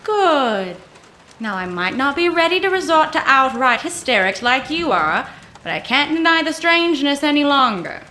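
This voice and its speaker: Radio-drama heroine: young, no-nonsense, high and very animated, slight background noise. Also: female voice, normal speed, heavily acted. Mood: brisk, reassuring. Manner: no-nonsense, wry, commanding. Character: brisk, plucky young woman, exasperated and practical. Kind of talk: old-time radio adventure drama acting.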